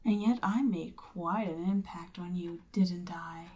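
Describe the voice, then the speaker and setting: A woman voicing a seductive, domineering Demon Queen in an ASMR roleplay, breathy and often near a whisper, with a smug, coaxing, commanding manner. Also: lowish voice, unhurried, darkish tone, natural voice. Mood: smug, amused.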